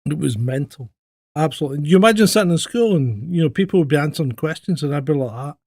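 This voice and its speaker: A Scottish man co-hosting a podcast, his accent leaning West Coast. He talks bluntly and animatedly, with a slightly high voice, recorded close and dry. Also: animated, quick pace, darkish tone, dry recording. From Scotland. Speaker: podcast co-host. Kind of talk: casual chat.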